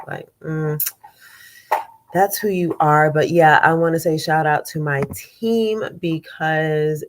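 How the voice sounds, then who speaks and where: Low-voiced congested woman host, rambling. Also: a little hiss or hum. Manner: chatty, rambling, with many fillers and repeats. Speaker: conflict coach and show host. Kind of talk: casual live show chat.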